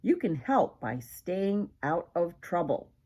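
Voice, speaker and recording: A woman voicing a kindly, busy man looking after someone in a children's storybook, speaking in a gentle, explanatory way. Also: lowish voice, big pitch swings, slow pace, slightly rough, exaggerated voice. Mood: kindly instructing.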